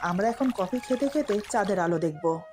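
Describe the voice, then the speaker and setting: A woman voicing a shy, loving young newlywed wife in a romantic story, coy and gently chiding. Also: mid-range voice, lively intonation, normal speed, over music, pitched below the performer's own voice, natural voice. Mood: gently playful.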